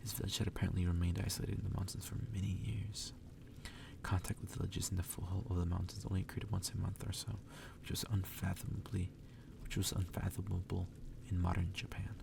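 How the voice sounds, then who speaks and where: Male ASMR reader, whispery and very creaky, little pitch movement, picked up from afar over noise. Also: flat delivery, fairly quick. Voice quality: breathy, whispery. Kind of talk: ASMR whispered reading of a story. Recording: distant mic with clear background noise.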